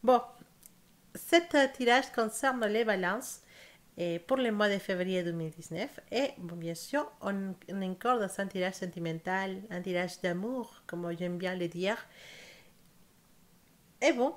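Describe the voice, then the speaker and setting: Brief: young South American woman, Spanish accent in French, careful tarot reader, stops and rephrases. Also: mid-range voice. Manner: slow careful speech with hesitations and self-corrections. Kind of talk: casual tarot reading to camera.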